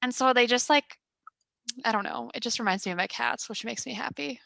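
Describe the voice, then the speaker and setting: A woman in her twenties hosting a podcast on a headset, chatting casually in a calm, fairly monotone voice with lots of fillers and deadpan humor. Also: on the high side, a little fast, vocal fry, no echo. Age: 20s. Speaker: podcast host. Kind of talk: casual chat. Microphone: gaming headset.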